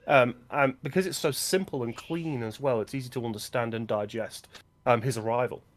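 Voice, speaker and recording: Male film podcast co-host, mid-pitched, casual discussion style, analytical and opinionated. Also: a little fast.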